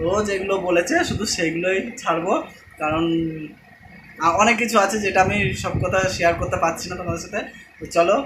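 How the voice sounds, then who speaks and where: A man's voice: high-pitched, background noise. A young man with a West Bengali accent, chatting casually as a prank vlogger in a home recording. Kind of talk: casual chat to camera and on calls. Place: indoors at home.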